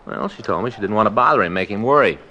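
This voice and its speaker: A man's voice: on the low side, expressive, very fast, pushed and bright, lo-fi sound. An American man playing a plain-spoken, conscientious police detective in a conversational 1950s radio drama style. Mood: puzzled, uneasy. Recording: noisy vintage 1950s radio recording.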